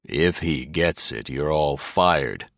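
A man's voice: a bass voice, unhurried, a bit bright, no room sound, clean studio sound, heavily acted. A man voicing a blunt, impatient government chief who growls his orders, in a story reading. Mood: stern. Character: gruff blustering male government chief.

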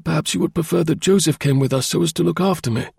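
A man voicing an anxious, earnest young gentleman client in a storybook, polite, deferential and eager. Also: fairly high pitch, quick pace, gravelly, slightly rough, dry recording, clean studio sound, pitched above the performer's own voice, heavily acted. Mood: eager, grateful.

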